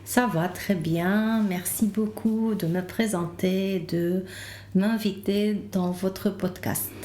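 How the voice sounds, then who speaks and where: A woman's voice: mid-range voice, fairly even intonation, takes it slowly, darkish tone, slight background noise. A woman from Lebanon with a Lebanese accent, telling her story as a podcast guest and searching for her words. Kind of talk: casual interview, telling own story. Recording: roomy, echoing room.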